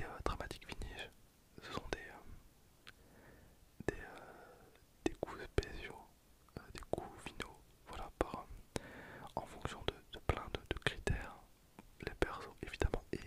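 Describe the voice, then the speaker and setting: A young man gives casual, hesitant gameplay commentary in a breathy, unvoiced ASMR whisper. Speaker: ASMR gaming video host.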